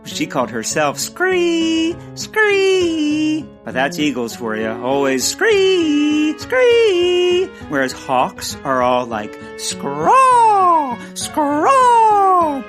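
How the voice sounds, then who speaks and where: Bumbling gentleman birdwatcher, slow and swooping. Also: very high voice, huge pitch swings, over music, heavily acted. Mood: proudly eccentric. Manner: bumbling, halting, flustered. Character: clumsy, earnest, eccentric gentleman bird expert. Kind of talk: theatrical comic audio drama acting.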